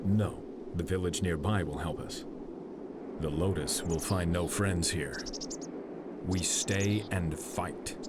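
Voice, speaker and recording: A man's voice: sits low, slow, very noisy. A man playing a grave, resolute warrior clan heir in a game cutscene, speaking in a serious, commanding manner. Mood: grim, resolute. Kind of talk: video game cutscene acting.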